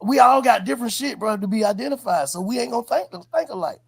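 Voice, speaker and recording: A man's voice: extremely high pitch, lively intonation, fast talker, gravelly, husky. A man giving heartfelt, slangy advice as an interviewer, emphatic and repeating his points. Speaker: podcast host interviewing a guest. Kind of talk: heartfelt advice in casual street talk.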